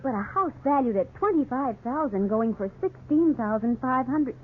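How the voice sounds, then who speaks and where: A sensible young wife in an old radio drama. Her voice sits fairly high and moves expressively, polite and careful. The sound is muffled, narrow and faintly noisy. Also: expressive, normal speed. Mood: thoughtful. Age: young adult. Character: sensible, cautious young wife buying a home. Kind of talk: 1950s radio drama acting.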